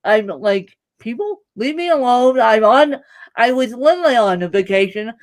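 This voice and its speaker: A woman's voice: a little high, lively intonation, normal speed, no echo. A woman guest on a live video podcast, telling stories with emphatic, humorous delivery. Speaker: podcast guest and fellow podcast host. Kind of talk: casual video interview.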